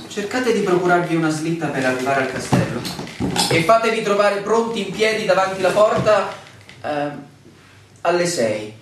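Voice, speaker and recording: A bossy, sardonic male surveyor, with a fairly high voice that swings widely in pitch. His delivery is theatrical and commanding, as in a radio play. It sounds very echoey and off-mic. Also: sing-song, normal speed. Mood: dry, bossy. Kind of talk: stage-like radio drama acting. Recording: echoey room, distant mic, background noise.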